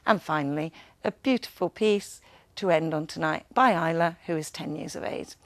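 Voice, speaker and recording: Woman reading weather, slightly creaky voice. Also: sits low, moderate pace, dry recording. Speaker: guest weather presenter. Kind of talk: scripted television weather forecast.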